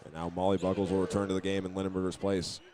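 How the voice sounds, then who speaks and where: A man doing live play-by-play of a high school basketball game, sometimes restarting his phrases, with the sounds of the game behind him. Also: level tone, very fast, a crowd in the background. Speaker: play-by-play announcer.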